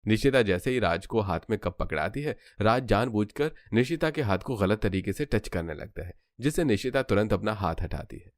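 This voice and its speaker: A man's voice: lowish voice, animated, normal speed, hardly any pauses, no echo, clean studio sound. A man narrating a scripted story as a storyteller.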